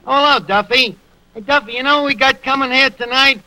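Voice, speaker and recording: Sharp, bright New York wise guy. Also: extremely high pitch, fairly quick, exaggerated voice, poor audio. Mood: wisecracking. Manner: slangy ungrammatical wise guy. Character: wisecracking lowbrow tavern manager with big pretensions. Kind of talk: 1940s radio comedy acting. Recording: old radio recording, faint noise.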